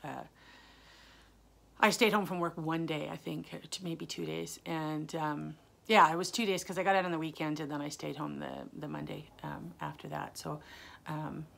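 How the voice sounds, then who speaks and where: A woman's voice: deep voice, fairly even intonation, moderate pace, vocal fry. A woman hosting her knitting podcast in a casual, rambling way, full of ums and self-corrections, with a lingering chest cough. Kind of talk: casual solo podcast chat.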